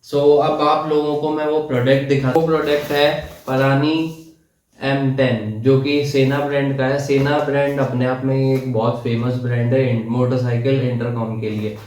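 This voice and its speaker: A man giving a casual product explanation in a halting, repetitive way. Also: medium pitch, steady tone, a little mellow, a little hiss or hum. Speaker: product reviewer. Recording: roomy, echoey sound.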